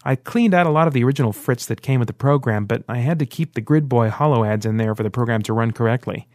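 A man voicing a calm, patient man who speaks steadily and earnestly. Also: mid-range voice, quick pace, no echo, clean studio sound, lightly acted.

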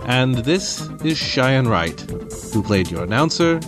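A man's voice: medium pitch, big pitch swings, slow, over music. A man serving as announcer, delivering scripted sign-off narration for a radio play.

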